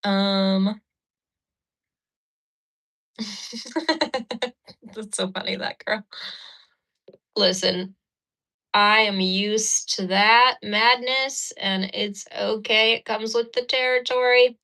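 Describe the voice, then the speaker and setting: Bright chatty woman doing makeup on stream. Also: mid-range voice, drawn-out words, pauses often, rough recording. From Ukraine. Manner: chatty, laughing, cursing, talks while doing makeup. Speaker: livestream host. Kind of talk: casual livestream chat. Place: indoors at a mirror. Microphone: phone.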